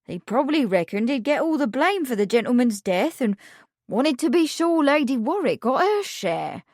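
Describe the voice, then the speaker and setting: Blunt country servant woman played by a woman, lively tune, slightly raised voice, rustic speech, dry sound. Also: high-pitched, moderate pace, dry recording, pitched above the performer's own voice, exaggerated voice. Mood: gossipy. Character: gossipy middle-aged household servant, blunt.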